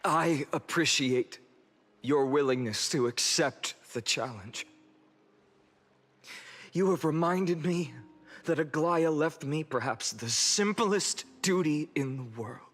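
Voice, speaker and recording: An actor playing an earnest young heroic leader in a fantasy game, giving a solemn, measured speech to his city. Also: on the high side, fairly bright tone. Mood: grave, solemn. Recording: long hall reverb with music behind. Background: music.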